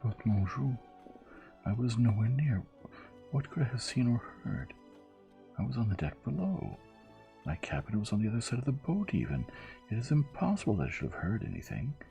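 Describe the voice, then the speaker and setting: A man voicing a sly, excitable young French lady's maid in an audiobook, with a French accent and an emphatic, pleading manner. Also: medium pitch, moderate pace, vocal fry, muffled recording, faint music behind, pitched above the performer's own voice. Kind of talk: audiobook character voice.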